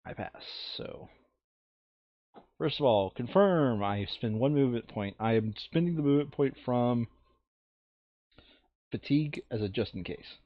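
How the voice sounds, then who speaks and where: A man's voice: medium pitch, animated, a little slow, long pauses, dull audio. A man chatting casually and informally while playing a board game, muttering rules aloud and joking. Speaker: board game player in a recorded play session. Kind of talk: casual gameplay chat.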